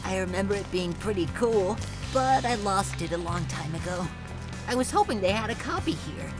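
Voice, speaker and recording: A woman voicing a gentle, shy young bluebird in a cartoon comedy, good-natured and a little hesitant. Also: mid-range voice, lively intonation, normal speed, close to an everyday voice, poor audio. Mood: amused, fond. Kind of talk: animated visual novel comedy dialogue. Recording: music behind the voice.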